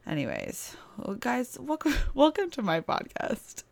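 A woman's voice: a little high, wildly swooping pitch, a little slow, vocal fry. A young woman hosting her own podcast from a house, chatting casually and rambling with lots of "like", sounding stuffy-nosed with a sore throat. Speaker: podcast host. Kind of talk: casual solo podcast chat. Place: boyfriend's house, indoors.

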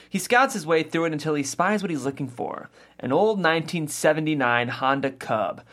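A lively narrator reads scene directions from a comedy script. The voice has a medium pitch and moves around a lot in intonation.

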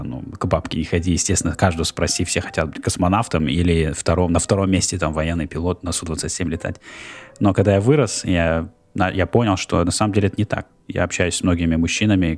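A man's voice: sits low, darkish tone. A man in his thirties from Russia, co-hosting a casual podcast and chatting along. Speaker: podcast co-host.

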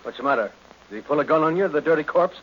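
An American actor playing a gruff, exasperated police captain in old radio drama, blunt and sardonic. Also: male voice, on the high side, lively intonation, very fast, close to an everyday voice. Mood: sardonic, irritated. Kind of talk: 1940s-50s radio drama acting. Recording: noisy, muffled old radio recording.

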